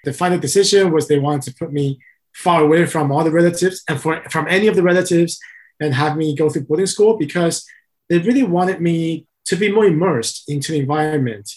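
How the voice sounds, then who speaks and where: A man from Taiwan, an interview guest and company founder, answering in a calm, methodical way. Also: fairly high pitch.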